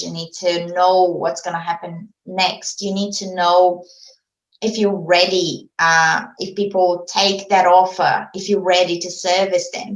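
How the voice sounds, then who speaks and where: Woman advising frankly in an echoey room. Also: mid-range voice. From immigrant living in Australia for ten years. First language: not English. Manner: frank, advice-giving, restarts often. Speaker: marketing agency founder, podcast guest. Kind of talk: podcast interview answers.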